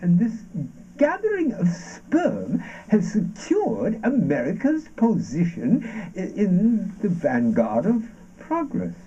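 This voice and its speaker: A man acting a brooding, sardonic ranter in an avant-garde stage monologue, halting and deliberate, with dramatic shifts of emphasis. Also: high-pitched, wildly swooping pitch, dark tone, husky, heavily acted. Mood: mocking, insistent. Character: brooding, sardonic ranter delivering absurdist anti-war poetic monologue. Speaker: stage actor. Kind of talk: theatrical monologue from an avant-garde radio play adapted for stage. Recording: dull, noisy lo-fi stage recording.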